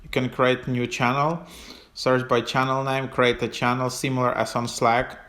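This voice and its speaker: A man giving a casual, unscripted app walkthrough as a tutorial host, in a hesitant, halting way with trailing phrases. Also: mid-range voice, little pitch movement, a bit bright.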